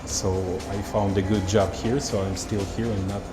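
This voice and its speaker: A man from Moscow with a Russian accent, answering interview questions as a doctor in halting, simple non-native phrasing. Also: lowish voice, level tone. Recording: roomy echo with music underneath.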